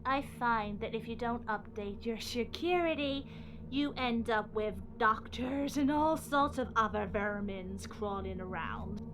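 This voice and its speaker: Woman playing a high-voiced, slow, gloating villainess. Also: background noise, natural voice. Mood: gloating menace. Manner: mocking, melodramatic, drawn-out taunting delivery. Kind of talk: sci-fi fan audio drama acting.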